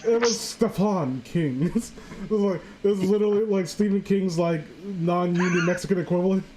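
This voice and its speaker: A woman's voice: lowish voice, moderate pace, dark tone, a little hiss or hum, rough recording. A woman hosting a casual comedic reading, joking crudely and irreverently as she riffs with friends. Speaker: host of a story-reading show.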